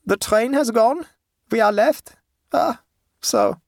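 A narrator voicing a stolid, shrewd peasant woman in a storybook, calm, devout and matter-of-fact. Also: mid-range voice, creaky, no room sound, studio quality, voice pushed up. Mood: placid. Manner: tranquil, unhurried, matter-of-fact.

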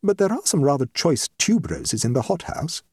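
A man voicing a shrewd, tactful society lady with a measured, dry and precise manner in a comic story. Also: a little high, very animated, darkish tone, slightly rough, dry recording, pitched above the performer's own voice. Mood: wry. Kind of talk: comic short story reading.

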